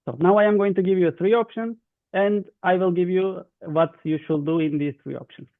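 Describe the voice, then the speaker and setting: Turkish guy leading a webinar activity, higher voice, tinny phone-like call, halting english. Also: steady tone, no room sound. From Turkey. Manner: halting, repetitive, non-native English. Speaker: youth webinar facilitator. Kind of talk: explaining instructions to an online group. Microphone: video call. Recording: thin, dry phone-like online call.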